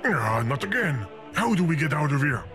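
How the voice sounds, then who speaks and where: An exasperated game narrator. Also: male voice, on the high side, very animated, normal speed, bright, forceful tone, soft background music. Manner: exasperated, pleading.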